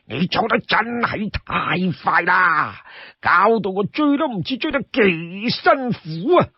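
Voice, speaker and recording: A man voicing a brash, burly outlaw in Cantonese, out of breath and calling out loudly in a whining complaint. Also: sits high, big pitch swings, takes it slowly, breathy edge, dry recording, muffled recording, voice pushed up, full character voice. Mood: breathless complaining. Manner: loud, whining calls. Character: brash, panting burly outlaw man, hot-headed comrade.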